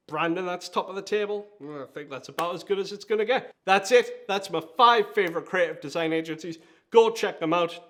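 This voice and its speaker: Higher-pitched Geordie man presenting. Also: a bit bright. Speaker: video host, design agency founder. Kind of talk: presenter-style vlog countdown.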